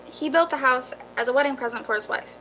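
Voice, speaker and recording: Actress doing a level-headed lady estate agent in a radio play, pretty high voice. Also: quick pace, slight background noise, rough recording. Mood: matter-of-fact. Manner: calm, matter-of-fact, reassuring. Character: composed, practical female real estate agent. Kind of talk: radio drama acting.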